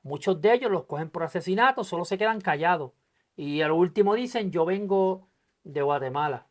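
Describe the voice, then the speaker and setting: A man's voice: high-pitched, lively intonation, fairly quick. A man hosting a podcast, talking casually about politics with a guest, speaking emphatically and acting out quoted dialogue in his stories. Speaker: podcast host.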